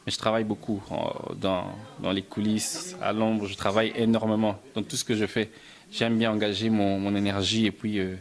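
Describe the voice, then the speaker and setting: A man from Belgium answering interview questions about his music and films, soft-spoken, often stumbling and repeating words. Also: on the low side, level tone, normal speed. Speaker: musician and film writer, interview guest.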